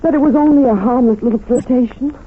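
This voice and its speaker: An actress playing a devoted, possessive wife in an old radio mystery, speaking with quiet, insistent intensity. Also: female voice, sits high, quick pace, slightly rough. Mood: pleading, knowing. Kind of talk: 1940s radio drama acting. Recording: muffled, noisy old radio recording.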